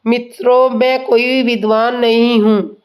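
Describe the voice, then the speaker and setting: A woman with a sore, hoarse throat telling a devotional story in a slow, humble way. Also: on the high side, flat delivery, darkish tone. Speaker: storyteller of religious tales.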